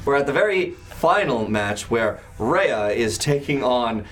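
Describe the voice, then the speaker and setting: A man's voice: mid-range voice, lively intonation, unhurried, a bit bright. A man running a tabletop game as game master, narrating the action casually. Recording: music behind the voice. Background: background music.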